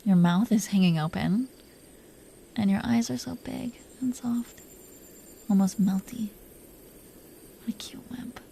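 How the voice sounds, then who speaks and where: A woman voicing a protective, smitten dragon queen in an intimate romantic audio roleplay, speaking softly and breathily with an affectionate, teasing manner, often near a whisper. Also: medium pitch, vocal fry, a little hiss or hum. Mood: protective, adoring. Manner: affectionate, teasing, slow confiding delivery.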